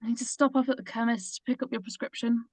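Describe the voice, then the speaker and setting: Woman acting fussy, high-voiced nagging mother of sixty. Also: fairly even intonation, quick pace, a little mellow, no echo. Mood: fussy, disapproving. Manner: fussy, chiding. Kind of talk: script table read.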